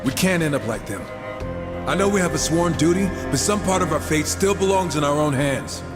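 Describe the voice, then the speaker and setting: A man's voice: fairly high pitch, lively intonation, brisk, lightly acted. A man playing a wisecracking, irreverent horseman in a video game, talking in a casual, flippant, sardonic manner. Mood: disdainful, restless. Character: wisecracking irreverent gunslinger horseman, cocky yet caring. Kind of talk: video game cutscene voice acting. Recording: long hall reverb, game music behind.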